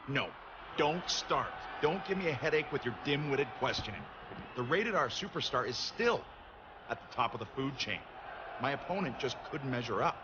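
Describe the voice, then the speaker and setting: A man's voice: mid-range voice, animated, normal speed, muffled recording, close to an everyday voice. A man playing a smug, condescending villain champion wrestler in a video game cutscene. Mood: smug, dismissive. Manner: condescending, self-assured. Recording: clear noise behind the voice.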